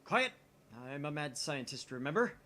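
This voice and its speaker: A man's voice: fairly high pitch, big pitch swings, moderate pace, bright, forceful tone, a little hiss or hum. A man playing a theatrical, pompous mad scientist. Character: grandiose, paranoid self-styled male mad scientist. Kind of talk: visual novel dialogue read aloud.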